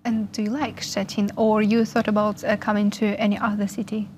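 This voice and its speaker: A woman's voice: medium pitch, little pitch movement, brisk, darkish tone, a little hiss or hum. A woman hosting an interview program, asking careful, friendly questions in non-native English. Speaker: interview host.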